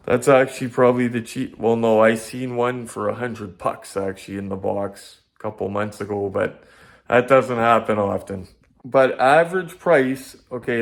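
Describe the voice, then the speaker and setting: A man with a Canadian accent hosting a casual collectibles review, talking straight to viewers with enthusiasm and repeating phrases for emphasis. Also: mid-range voice. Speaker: collectibles video host.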